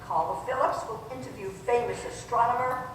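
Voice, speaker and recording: A woman's voice: on the high side, fairly quick, lightly acted. A woman playing a serious, formal newsreader with urgent bulletins in an old radio drama. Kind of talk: old-time radio news drama acting. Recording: echoey hall from far off, noisy.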